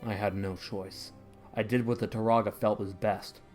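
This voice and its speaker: A guarded cartoon hero, played by a man with a fairly low voice. He talks in short, terse phrases, with faint music underneath. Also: level tone, normal speed, a little mellow, natural voice. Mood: guarded, uncertain. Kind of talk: fan-dub animated adventure dialogue.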